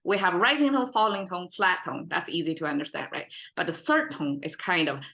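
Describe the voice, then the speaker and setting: Bright-voiced woman teacher, Chinese accent. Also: on the low side, animated, fairly quick, creaky. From China. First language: Mandarin Chinese. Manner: rambling, self-correcting, checks in with listeners. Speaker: language teacher, podcast host. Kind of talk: informal spoken lesson.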